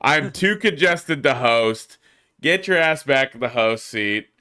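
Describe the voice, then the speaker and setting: A man's voice: mid-range voice, lively intonation, unhurried, sharp tone. A man with a congested voice, hosting a casual podcast in a laid-back way and laughing easily. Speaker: podcast host. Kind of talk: casual chat.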